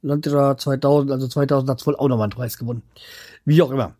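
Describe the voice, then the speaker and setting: A man's voice: mid-range voice, fairly even intonation, fast talker, dark tone, dry recording. A man from the Hanau area, chatting casually to his viewers at home in a rambling way, trailing off and restarting with many fillers. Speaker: vlogger. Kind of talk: casual chat to camera.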